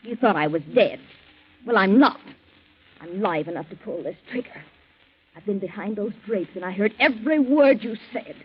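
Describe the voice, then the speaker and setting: An actress playing a jealous, vengeful, unhinged wife in a melodramatic 1940s radio drama. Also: female voice, medium pitch, animated, normal speed, a little hiss or hum, close to an everyday voice. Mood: cold, menacing. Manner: melodramatic, accusing. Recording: muffled 1940s radio recording.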